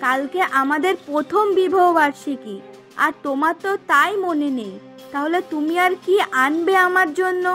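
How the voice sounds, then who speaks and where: A woman's voice: high voice, moderate pace, sharp tone, faint music behind. A woman voicing a spoiled young wife in a cartoon, sulky and demanding, with a complaining, sarcastic way of talking. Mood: sarcastic, annoyed. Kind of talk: Bengali cartoon voice acting.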